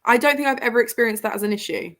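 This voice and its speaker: A woman's voice: fairly high pitch, racing, fairly bright tone. A woman hosting a casual book livestream, chatting and reading out comments in a jokey, self-deprecating way. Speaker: host of a book livestream with guests.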